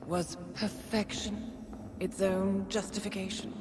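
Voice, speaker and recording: An actress playing a cruel, elegant tormentor in a video game, taunting in a theatrical, drawn-out, mocking way. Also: female voice, mid-range voice, little pitch movement, background noise, lightly acted. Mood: taunting, menacing.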